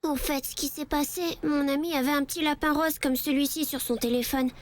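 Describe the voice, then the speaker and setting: A woman's voice: sits high, fairly even intonation, fairly quick, slight background noise, lightly acted. A woman voicing a sweet, hesitant, naive child in a French anime fan dub.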